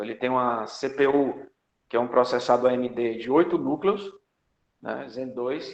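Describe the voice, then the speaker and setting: A male computer architecture lecturer, teaching an online class in a chatty style, full of fillers and tag questions. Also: medium pitch. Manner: jokey asides. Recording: echoey, dull lo-fi lecture audio.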